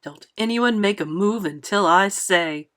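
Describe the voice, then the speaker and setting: A woman's voice: medium pitch, measured pace, fairly bright tone, lightly acted. A woman voicing a bossy, easily alarmed male fire chief in a storybook, exclaiming and blustering.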